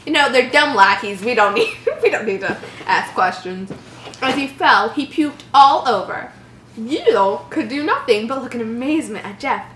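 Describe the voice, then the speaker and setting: A woman's voice: fairly high pitch, expressive, moderate pace, fairly bright tone. A woman reading a horror story aloud and breaking in with sarcastic, exasperated commentary. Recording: roomy echo, faint background noise.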